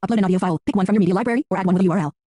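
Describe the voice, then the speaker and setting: A computerised male screen reader rattles off interface labels at very high speed. The tone is slightly dark and mechanical, with clipped phrasing and a dry, roomless sound. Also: very fast, dry recording. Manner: clipped, flat synthetic delivery. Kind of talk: robotic readout of interface labels.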